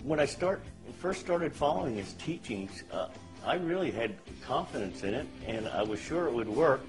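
A man's voice: mid-range voice, lively intonation, moderate pace, poor audio. An older man giving a plain-spoken testimonial, stumbling over repeated words. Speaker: customer testimonial. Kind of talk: testimonial to camera. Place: indoors, high-rise room with window view. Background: soft music.

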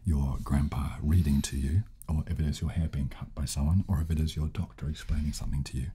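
A man in his thirties hosting a soft-spoken, casual ASMR tutorial in an unhurried, hushed voice that often slips into whispers, close on the mic. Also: extremely low pitch, fairly even intonation, slightly rough. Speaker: host and ASMR instructor. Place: small furnished room, indoors. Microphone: desk condenser microphone, close up. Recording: close-mic with clicking and crinkling handling noise. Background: button clicks, crinkling.

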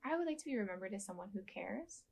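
A young woman with a soft voice giving gentle, thoughtful interview answers with frequent ums and restarts, seated in a dark studio. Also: medium pitch, expressive, fast talker, gravelly, slightly rough.

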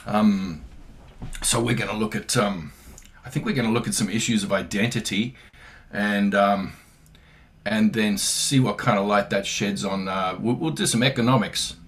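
A man's voice: on the low side, normal speed. Joking Australian man hosting a video call. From far north Queensland, Australia. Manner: playful, joking, relaxed. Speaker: host. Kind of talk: casual video call chat. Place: home room.

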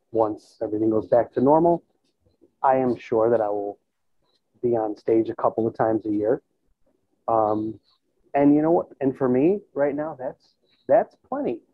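A man in his thirties speaks in a husky, mid-pitched voice, casually reflecting as an interview guest. He thinks aloud and often checks in with the listener. The sound is dry and thin, like a phone-quality video call through an earbud mic. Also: no echo. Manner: conversational, thinking aloud, checks in with "you know" and "right?". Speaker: musician and school band teacher being interviewed. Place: indoors in a plain room on a video call. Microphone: earbud headset. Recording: tinny, band-limited video call audio.

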